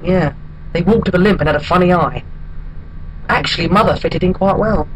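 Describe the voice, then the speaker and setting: Casting: actor as hapless wistful bachelor, high male voice, deadpan and halting comic monologue, noisy homemade recording. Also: dull audio. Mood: deadpan, rueful. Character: hapless, wistful single man telling his odd life story.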